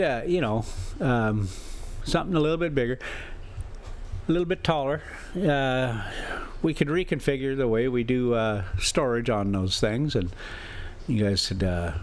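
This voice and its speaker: An American man chatting casually as a travel vlogger on a drive, rambling in a folksy, teasing, sarcastic way. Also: lowish voice. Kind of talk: casual rambling chat and ranting.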